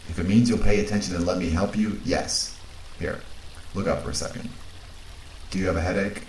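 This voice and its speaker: A man playing a calm, caring android crewmate in an audio drama, with a measured, dry, clinical delivery. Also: low-pitched. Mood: dry, concerned. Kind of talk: naturalistic audio drama acting. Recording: roomy echo, faint background noise.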